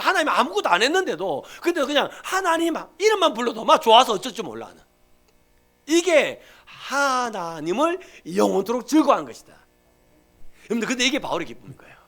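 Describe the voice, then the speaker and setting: A male pastor with a Gyeongsang accent preaching a Sunday sermon, emphatic and swinging from loud to hushed. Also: very high voice.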